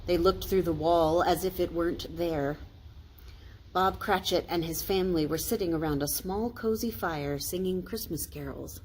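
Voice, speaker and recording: A woman pastor reading a storybook aloud in an expressive children's storytime style. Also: lowish voice, normal speed, a little hiss or hum, poor audio.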